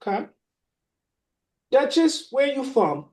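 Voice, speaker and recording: Slow rhythmic podcast host. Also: male voice, very high voice, big pitch swings, darkish tone. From Boston. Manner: slow, rhythmic spoken-word delivery. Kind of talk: rhymed spoken intro then hosting a panel.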